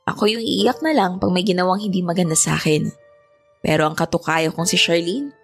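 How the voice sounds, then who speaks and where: An actress playing a gentle young Filipino woman who narrates her own story in a confiding, reflective way. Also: fairly low pitch, lightly acted. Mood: amused, reflective. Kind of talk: scripted first-person story narration.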